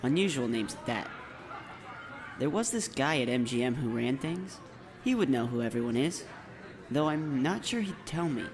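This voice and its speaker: An earnest schoolboy hero, acted by a man, speaks anxiously in an audiobook drama. His voice sits at an ordinary male pitch, with a clear crowd murmur around it. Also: lively intonation, normal speed, close to an everyday voice. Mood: intent.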